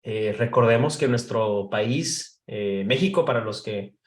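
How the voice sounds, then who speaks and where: A man's voice: on the low side, unhurried. A Mexican man with a light northern lilt talks through history at length on a casual panel podcast. He fills his sentences with hesitations and restarts as he thinks aloud. His voice carries a roomy echo. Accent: slight northern Mexican. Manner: talkative, many fillers and restarts. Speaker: podcast co-host.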